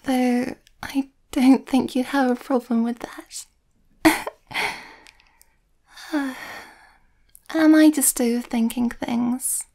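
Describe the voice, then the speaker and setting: A woman playing a shy, sweet young werewolf girlfriend who overthinks, speaking softly and hesitantly in an ASMR roleplay, often close to a whisper. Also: high voice, full character voice. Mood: bashful. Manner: hesitant, breathy, often near whisper, nervous.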